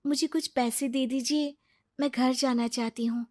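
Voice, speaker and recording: A dutiful young daughter from a cartoon family drama, played by a woman. Her voice is fairly high and she speaks earnestly and obediently, as a kind-hearted girl who looks after her family. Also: steady tone, normal speed, a little mellow. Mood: gentle, assured. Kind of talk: Hindi cartoon dubbing.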